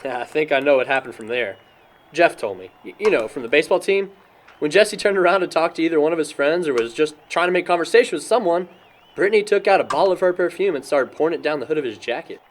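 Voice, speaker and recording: A man's voice: on the high side, expressive, quick pace, slight background noise, lightly acted. A man playing an easygoing young party host who jokes and gossips in an amateur audio drama. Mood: gossiping.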